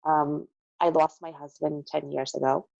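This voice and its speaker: A woman's voice: sits low, steady tone, a little slow, gravelly, darkish tone, dry recording, lo-fi sound. A middle-aged American woman speaking earnestly as an interview guest, explaining things from her own experience and repeating phrases for emphasis. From Connecticut, United States. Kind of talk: conversational interview, sharing personal experience.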